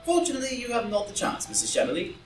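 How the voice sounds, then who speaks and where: Pria memerankan bangsawan necis bernada sangat dinamis, agak bergema. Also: male voice, high voice, very animated, rapid-fire. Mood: dry irony. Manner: dry, epigrammatic, commanding. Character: witty, cool, accusing English aristocratic gentleman dandy. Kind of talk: live group reading of a stage play as audio drama. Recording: roomy, distant group reading with faint music.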